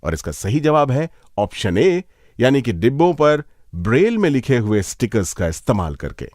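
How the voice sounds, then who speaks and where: Animated male radio host. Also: mid-range voice, moderate pace, no echo, studio quality. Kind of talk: radio magazine presenting.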